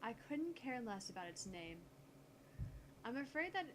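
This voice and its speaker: Woman acting prickly young genius woman, sarcastic, curt, visual novel character, higher-pitched, noisy home recording. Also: sing-song, moderate pace. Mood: irritated. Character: sharp-tongued young woman genius scientist, prickly and easily indignant. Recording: noticeable background noise on home mic.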